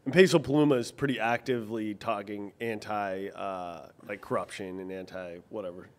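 A man's voice: lowish voice, fairly even intonation, moderate pace. A man who is a guest on a casual podcast, laid-back, reacting with short remarks and easy comments.

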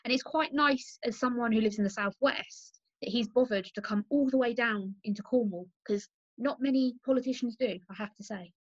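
A woman's voice: on the high side, moderate pace. A British woman hosting a political podcast discussion, recorded at home. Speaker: podcast host. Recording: muffled, roomy home recording.

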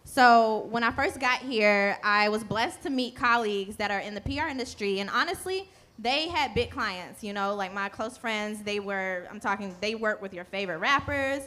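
A woman's voice: mid-range voice, moderate pace, few pauses, bright, forceful tone. A woman from Charlotte, North Carolina, speaking casually on a panel with many fillers. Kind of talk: panel discussion.

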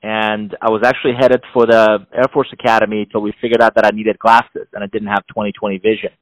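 A man's voice: on the low side, fast, dry recording, lo-fi sound. Emphatic middle-aged man, dry sound. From Iran. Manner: animated, emphatic, with frequent restarts and repeated words. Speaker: executive advisor and author. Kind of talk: interview answers.

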